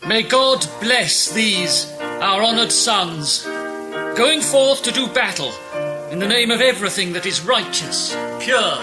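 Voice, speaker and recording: A man's voice: high voice, animated, a little slow, over loud music. An actor playing a solemn clergyman giving a declamatory, patriotic blessing in a radio play. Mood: solemn, fervent.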